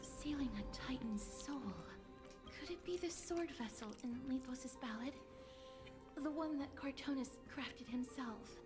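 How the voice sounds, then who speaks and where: An actress playing a gentle, weary young priestess in a video game, solemn, with a hushed, breathy voice. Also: female voice, high voice, measured pace, music in the background. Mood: solemn curiosity.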